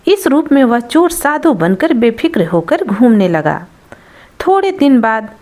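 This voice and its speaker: A woman's voice: high-pitched, darkish tone. A woman narrating a scripted folktale as a storyteller.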